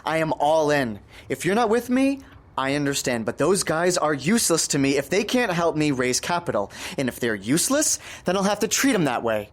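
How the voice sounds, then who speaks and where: An actor playing a scheming, self-deluded young American entrepreneur turned kidnapper in an audio drama, talking in a glib, grandiose way. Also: male voice, fairly high pitch, big pitch swings, moderate pace. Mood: coolly persuasive.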